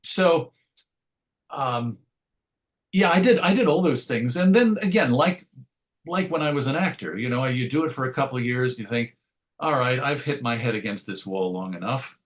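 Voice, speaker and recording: A man's voice: mid-range voice, lively intonation, normal speed. Wry middle-aged man reminiscing. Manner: wry, self-deprecating. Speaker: interview guest. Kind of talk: conversational interview answers, reminiscing.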